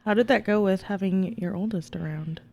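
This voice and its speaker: A woman's voice: mid-range voice, a little fast, gravelly, darkish tone. A woman in her twenties chatting casually as a podcast guest, playful and teasing. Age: 20s. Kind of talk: casual chat.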